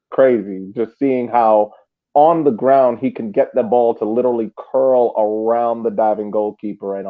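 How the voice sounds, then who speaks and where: A man co-hosting a casual sports podcast, talking over a remote internet call. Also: medium pitch. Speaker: podcast co-host. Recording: tinny phone-like internet call.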